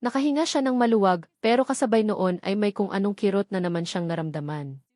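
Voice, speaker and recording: A woman with a Filipino accent reads a scripted romance story as a storyteller, with sentimental, dramatic phrasing and pauses. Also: medium pitch, a little mellow, dry recording, studio quality.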